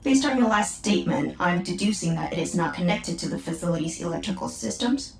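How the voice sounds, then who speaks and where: A woman's voice: fairly low pitch, lively intonation, fairly quick, gravelly, echoey room, far from the mic. A woman voicing a new female android who speaks in precise, formal phrases.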